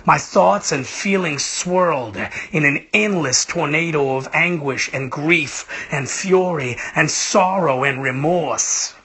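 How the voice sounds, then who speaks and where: A man reading a literary story in the first person, with theatrical, emotive phrasing and hushed, breathy phrase endings. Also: on the high side. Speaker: reader of a short story.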